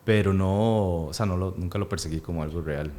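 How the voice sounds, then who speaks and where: A man in his thirties, born in Peru and speaking with a Costa Rican accent, talks thoughtfully as a guest, with many pauses and fillers. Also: sits low, dark tone, slight background noise. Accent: Costa Rican Spanish. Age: 30s. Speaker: actor and guest. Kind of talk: casual interview.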